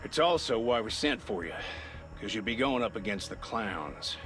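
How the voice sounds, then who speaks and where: A man acts out a steady, grim commander in a survival game who lays out a dangerous rescue. His voice is mid-pitched and measured, every line grave. Game music sits clearly behind him. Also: natural voice. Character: serious male shelter commander, steady and grim. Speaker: shelter leader giving a briefing. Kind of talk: game cutscene voice acting.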